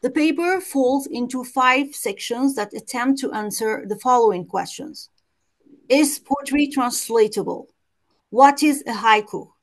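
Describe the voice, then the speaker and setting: A woman from Morocco, reading a prepared academic paper at a conference over a video call in a careful, measured way with occasional hesitant restarts. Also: high-pitched. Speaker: conference presenter. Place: home or office on a video call.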